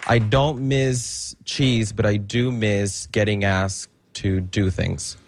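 A man performing a stand-up comedy bit, halting and hesitant, with many pauses and fillers. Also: on the low side, fairly even intonation, a loud crowd, very noisy.

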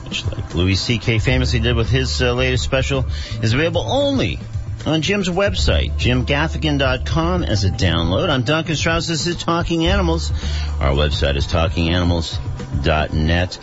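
A man's voice: mid-range voice, sing-song, moderate pace, muffled recording, over music. A man hosting a radio talk show, hesitant and full of fillers as he introduces and interviews a guest. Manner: hesitant, lots of uh and um.

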